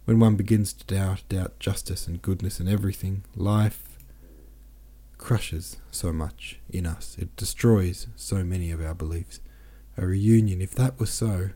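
A man's voice: low-pitched, measured pace, dark tone. A man reading the lines of an emotional, outspoken older woman in a storybook, lightly acted in his own voice. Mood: doubting.

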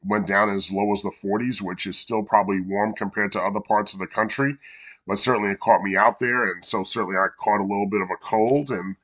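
Man, podcast host, congested, earnest and measured, low-pitched, bright tone. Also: steady tone. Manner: warm, measured and earnest, with small restarts. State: congested from a cold. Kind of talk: casual podcast monologue.

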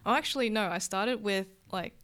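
Smooth-voiced woman from Vietnam. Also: mid-range voice, a little fast, a bit bright. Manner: rambling and self-correcting, with many "like" and "um". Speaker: guest illustrator. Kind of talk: casual interview chat.

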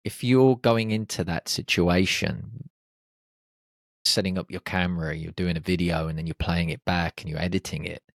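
A man hosting his own podcast, talking alone in a lively, animated way with plenty of exclamations. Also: deep voice, moderate pace, gravelly, no room sound, clean studio sound. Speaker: podcast host. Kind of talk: solo podcast monologue. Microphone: podcast microphone.

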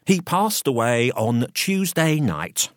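A man voicing an officious, impatient male solicitor with a formal, halting manner in an audiobook. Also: medium pitch, very animated, dry recording, clean studio sound, voice pushed up. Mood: ill-concealed glee. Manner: stammering, pompous, formal. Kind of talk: audiobook character dialogue.

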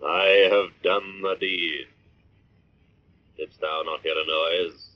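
An actor playing an unseen, sinister avenger who taunts and questions in old-time radio drama style. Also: male voice, deep voice, steady tone. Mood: menacing. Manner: taunting, menacing, deliberate questioning. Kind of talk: 1940s radio drama acting. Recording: muffled vintage radio recording.

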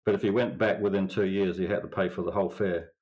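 A middle-aged man speaks with a New Zealand accent in a fairly low voice. His manner is dry and laconic as he answers questions in a relaxed interview chat. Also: fast talker, rough recording. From England. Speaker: winemaker guest.

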